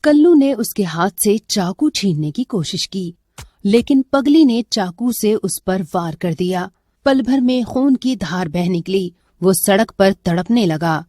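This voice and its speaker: Female storyteller for dramatic tales: unhurried, soft dark tone, dry close recording. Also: mid-range voice, lively intonation, no room sound. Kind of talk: scripted dramatic story narration.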